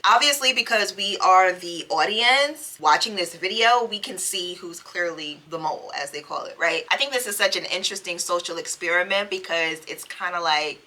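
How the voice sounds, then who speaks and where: Woman host, casual reaction chat, giggly, bright and very animated voice. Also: on the low side. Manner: chatty, giggly, playful asides. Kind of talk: casual blind reaction commentary.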